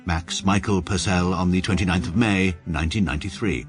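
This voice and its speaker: An English actor playing a stiff, pedantic middle-aged male barrister who narrates in a dry, clipped, deadpan manner for a radio comedy drama. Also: sits low, a little fast, over music, close to an everyday voice.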